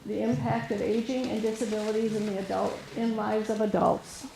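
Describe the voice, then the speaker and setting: A woman with a soft voice giving an informal presentation as a resource specialist, hesitant, with many fillers and restarts. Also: medium pitch, little pitch movement, fairly quick, gravelly, slight background noise. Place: meeting room with an audience. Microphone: room microphone recorded for camera. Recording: roomy echo.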